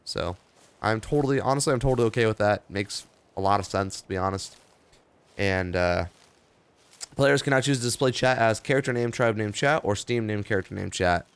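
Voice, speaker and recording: A tired young man talks with a hoarse, gravelly voice, low in pitch yet smooth underneath. He rambles in an offhand, easygoing way, reading notes aloud and adding his own asides. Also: a little fast. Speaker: gaming video host. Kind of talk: casual gameplay commentary.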